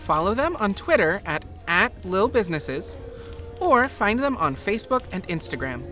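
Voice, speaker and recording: A woman's voice: medium pitch, sing-song, normal speed, a bit bright. A woman playing an upbeat, helpful fantasy shopper in a scripted comic podcast ad, enthusiastic and explanatory. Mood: promotional. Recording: music behind the voice. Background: music.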